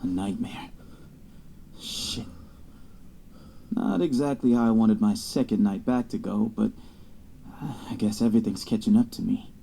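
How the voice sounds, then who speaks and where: A man's voice: on the low side, a little slow, gravelly, pauses often, mellow, a little hiss or hum. An actor playing a young star quarterback, cocky yet anxious, narrating his own story in an audio drama. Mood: shaken.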